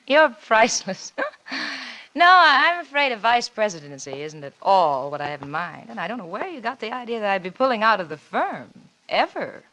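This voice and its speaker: An actress playing a cunning, coolly blackmailing wife in a 1940s radio suspense drama, with a coy, teasing, insinuating manner. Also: female voice, mid-range voice, sing-song, moderate pace, bright, forceful tone, poor audio. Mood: coolly calculating. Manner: coy, teasing, insinuating drawl with theatrical swoops.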